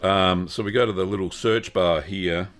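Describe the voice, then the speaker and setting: A man's voice: low voice, steady tone, normal speed, a bit bright. An older man hosting a live-stream Q&A, talking in a rambling, friendly way with lots of ums. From Australia. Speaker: host answering cheese-making questions.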